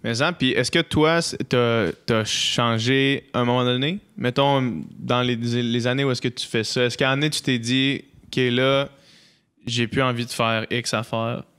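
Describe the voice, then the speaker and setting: A man's voice: medium pitch, steady tone, moderate pace, a bit bright, clean tone. A man with a Québécois French accent hosting a casual podcast, asking questions and finishing his guest's sentences. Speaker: podcast host. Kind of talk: casual chat.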